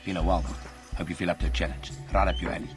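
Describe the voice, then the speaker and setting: Deep-voiced mercenary, played by a man. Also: little pitch movement, rapid-fire, lightly acted. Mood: gruffly approving. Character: tough male mercenary arms trader, casual and shrewd. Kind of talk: video game cutscene acting. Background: music.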